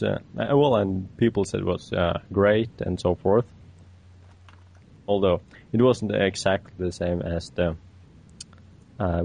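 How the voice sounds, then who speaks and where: A man from Norway speaks English, his showing through. His voice is deep and mellow with a gravelly edge. He talks haltingly and fills his pauses with "uh" and "you know.". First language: Norwegian. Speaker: guest creator of an animated video. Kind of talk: casual podcast chat.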